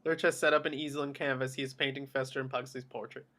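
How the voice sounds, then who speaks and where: A man's voice: medium pitch, flat delivery, fast talker, fairly bright tone. A man reading stage directions as the narrator of a script table read.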